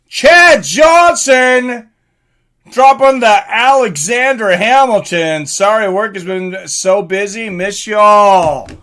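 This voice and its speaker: A man hosting a live fight stream, excitable and informal, mixing commentary with casual chat to viewers. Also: high voice, takes it slowly. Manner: excitable, informal, swearing, reacting aloud.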